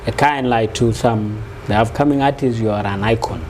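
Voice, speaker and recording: A man's voice: lowish voice, moderate pace. Guy hosting an interview, lots of yeah and mm, noisy background. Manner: frequent short affirmations. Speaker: interview host. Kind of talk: casual interview. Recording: steady background noise.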